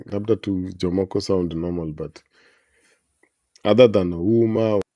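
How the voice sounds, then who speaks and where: A man's voice: low voice, measured pace, mellow, no room sound. A man with a Kenyan accent, hosting a podcast in a casual, conversational style. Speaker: podcast host. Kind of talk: casual bilingual chat.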